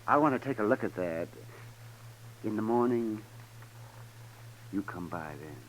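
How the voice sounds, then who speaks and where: An actor playing a fussy, kindly old American town doctor in a 1950s radio western, muttering and repeating himself. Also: male voice, fairly low pitch, animated, measured pace, rough recording. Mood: concerned. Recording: faint hiss, old radio recording.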